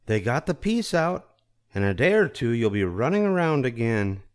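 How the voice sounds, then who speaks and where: A man voicing a kind, reassuring young female nurse in a children's storybook, with a gentle, coaxing manner. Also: medium pitch, very animated, normal speed, smooth, pitched above the performer's own voice, close to an everyday voice. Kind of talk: children's storybook read-aloud.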